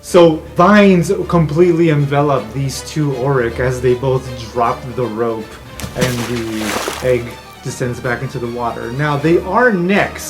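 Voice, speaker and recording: A man improvising vivid, descriptive narration as game master of a tabletop fantasy game. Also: medium pitch. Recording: music playing behind the voice. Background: music.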